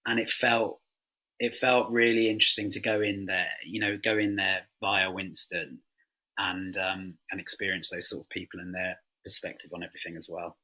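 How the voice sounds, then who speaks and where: A man gives a casual interview as a writer. His voice is low and rough-edged, with a bright tone. He rambles loosely, filling gaps with informal asides. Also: little pitch movement, moderate pace, rough recording. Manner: informal, filler-heavy, rambling. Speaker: writer, panel guest.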